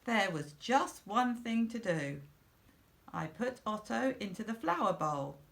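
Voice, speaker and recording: Middle-aged Englishwoman, creaky voice, unhurried and expressive children's storybook reader. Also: mid-range voice. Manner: slow, deliberate, simple phrasing for young children. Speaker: school storyteller.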